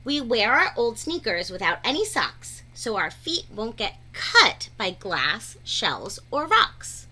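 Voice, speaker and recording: A woman reading a rhyming children's picture book aloud in an expressive, deliberate, sing-song way. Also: a little high, poor audio. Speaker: read-aloud storyteller.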